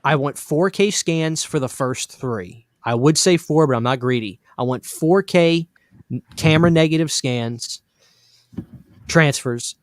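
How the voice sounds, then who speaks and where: A man hosting a casual live horror stream, enthusiastic and emphatic, repeating pet phrases, a little hoarse from losing his voice. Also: on the high side, unhurried, no echo, clean studio sound. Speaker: horror channel host.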